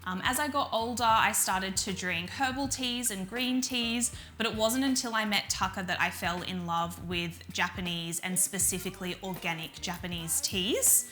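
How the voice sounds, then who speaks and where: A woman's voice: medium pitch, moderate pace, few pauses, pushed and bright, faint music behind. A woman from New South Wales, steadily giving a prepared self-introduction as a business partner. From New South Wales, Australia.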